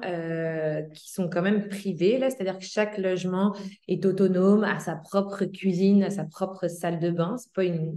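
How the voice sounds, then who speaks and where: A woman's voice: lowish voice, dark tone, reverberant. A woman giving an explanatory presentation, with frequent fillers.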